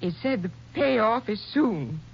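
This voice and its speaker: A distraught, devoted American nurse with a middling pitch and tremulous delivery. The acting is 1950s radio melodrama, heard through a dull, faintly noisy recording. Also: female voice, lively intonation, gravelly. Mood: shaken, recalling. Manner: tremulous, halting, emotional delivery. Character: distraught, shaken female nurse, devoted and earnest.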